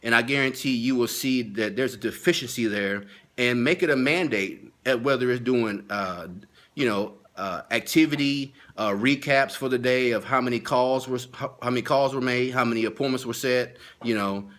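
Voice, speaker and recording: Male sales trainer, mid-pitched voice, informal instructional delivery with emphatic repetition of key points. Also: normal speed, fairly bright tone. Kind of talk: informal video training talk. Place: at home.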